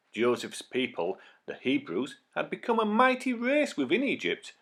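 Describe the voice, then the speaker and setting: Guy reading a kids bible story, super dramatic, voice swoops up and down. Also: mid-range voice. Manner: dramatic, expressive delivery. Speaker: story narrator. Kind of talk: scripted children's Bible storytelling.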